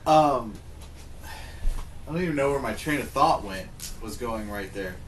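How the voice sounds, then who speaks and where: A man with a mid-range voice chats casually and haltingly, drifting as he goes. He sits a little away from the mic, with faint noise behind. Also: medium pitch, expressive, distant mic, rough recording. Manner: rambling, halting. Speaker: podcast co-host. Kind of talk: casual chat about games and movies.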